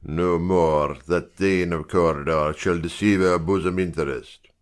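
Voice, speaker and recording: A man playing a stately, commanding Scottish king in measured style for a Shakespeare audiobook drama. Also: low-pitched, fairly even intonation, smooth. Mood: regal, commanding.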